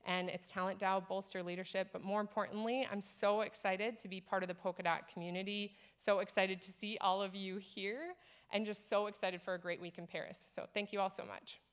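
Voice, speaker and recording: Energetic woman giving a conference talk. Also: medium pitch, a little fast, clear, resonant tone. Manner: energetic, earnest, encouraging. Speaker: conference speaker. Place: conference stage.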